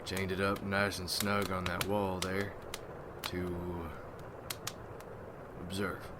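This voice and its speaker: A man playing a gruff, hardened vampire hunter in an ASMR roleplay, speaking in folksy rustic slang as he mentors a newcomer. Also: deep voice, level tone, takes it slowly, very noisy. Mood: grim, matter-of-fact.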